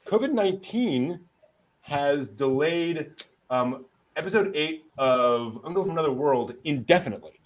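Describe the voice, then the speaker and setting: A man's voice: on the high side, expressive, moderate pace. A man hosting a news podcast, delivering items in a casual, conversational way. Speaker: anime news podcast host.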